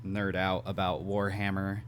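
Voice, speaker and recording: A hoarse man hosting a podcast from home, talking casually and reflectively and asking questions. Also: deep voice, monotone, unhurried, slight background noise. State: hoarse, clearing his throat. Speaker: podcast host. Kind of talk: casual conversation.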